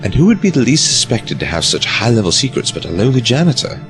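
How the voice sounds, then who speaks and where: Male actor as adventurer companion, protective, casual, animated intonation, audio drama, music in background. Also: medium pitch, fast talker. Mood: wry. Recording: music behind the voice.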